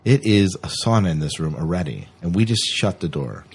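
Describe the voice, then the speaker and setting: A man's voice: low voice, very animated, brisk, gravelly, slightly rough. A man hosting a podcast in a casual, talkative, explanatory way, chatting with a co-host. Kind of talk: casual co-hosted podcast chat. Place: small closed room.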